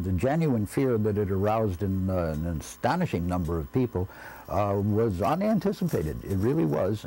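Mellow, low-voiced older North American man. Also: poor audio. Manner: measured, deliberate, formal phrasing. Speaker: interviewee, former radio station staffer. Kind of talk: reflective interview recollection. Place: quiet indoor room. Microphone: lapel.

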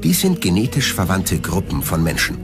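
Male documentary narrator, low and lively. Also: moderate pace. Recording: music bed under the narration. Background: background music.

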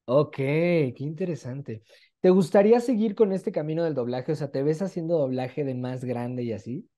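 A man's voice: on the high side, normal speed, dark tone. A man in his twenties with a Mexican accent, interviewing casually over a video call in an encouraging, conversational way. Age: 20s. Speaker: interviewer, host. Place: small room at home, indoors. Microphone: video call with wired earphones.

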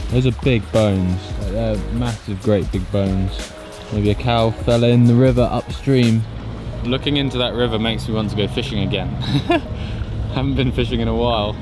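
Young man, vlog host, curious, easygoing, clear music underneath. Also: mid-range voice. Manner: easygoing, curious, laughs often. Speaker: vlogger host. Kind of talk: casual vlog chat. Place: outdoors by a river and in a van.

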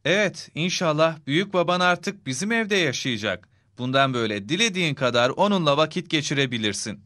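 A man voicing a patient, affectionate father in a children's cartoon, speaking gently and reassuringly. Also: a little high, animated, fairly quick, no room sound, studio quality. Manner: gentle, reassuring, clearly enunciated.

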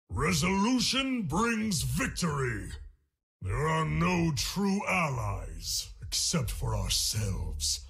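An actor playing a fierce, proud orc warrior in a video game, with a guttural, gravelly voice and declamatory delivery. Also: male voice, fairly high pitch, sharp tone, full character voice. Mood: solemn, proud. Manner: declamatory, slow battle cries. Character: fierce, honor-bound orc warrior, a proud adult male. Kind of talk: video game character voice lines.

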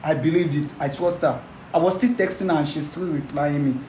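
A man's voice: a little high, fairly quick, a little mellow, lightly acted. An actor playing a young man who pleads and repeats himself, breaking into laughing sobs. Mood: anxious. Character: young man heartbroken by betrayal. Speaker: betrayed boyfriend. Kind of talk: amateur skit acting. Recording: roomy echo, faint noise.

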